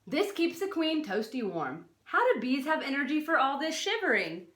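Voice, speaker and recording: A woman with a high voice reads to children in a teacherly way, swooping widely in pitch. She poses questions, leans on key words and adds explanatory asides. The recording is noticeably echoey, as in a bare room. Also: normal speed. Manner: expressive, questioning, stresses and draws out key words. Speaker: teacher reading a non-fiction book aloud to children. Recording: roomy, echoey sound.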